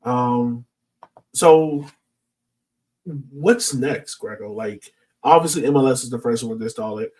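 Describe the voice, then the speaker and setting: A man's voice: medium pitch, a little slow, a little mellow. Male podcast host, mid-range voice slightly off-mic, conversational, talks points through plainly. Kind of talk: casual podcast discussion. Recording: voice distant from the microphone.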